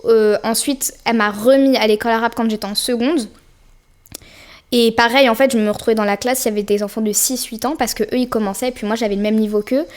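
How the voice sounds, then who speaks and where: Chatty young French woman, higher voice. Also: fast talker. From France. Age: young adult. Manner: relaxed, chatty, slangy with many fillers. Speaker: podcast guest. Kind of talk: casual interview chat.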